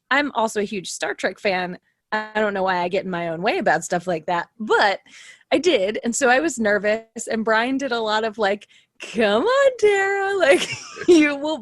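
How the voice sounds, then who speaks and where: A woman's voice: medium pitch, no room sound, studio quality. A woman in her thirties talking casually on a podcast, giggly and self-deprecating, as one of the show's players. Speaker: podcast cast member and player.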